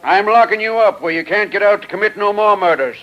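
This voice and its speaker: An actor playing a gruff, folksy rural lawman in a 1940s radio drama. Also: high-pitched, brisk, over loud music, lightly acted. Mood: gruff, commanding. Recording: dull, faintly noisy old radio recording.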